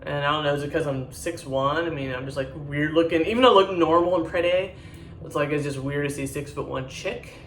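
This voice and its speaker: Deep-voiced woman rambling bluntly. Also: a little fast, distant mic, slight background noise. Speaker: vlogger. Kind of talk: casual chat to camera. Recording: echoey room, voice a bit off-mic.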